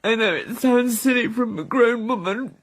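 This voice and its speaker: A woman's voice: a little high, moderate pace, close to an everyday voice. An actress playing a grief-stricken, forlorn grown woman in a radio drama, crying as she speaks. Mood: grieving, forlorn.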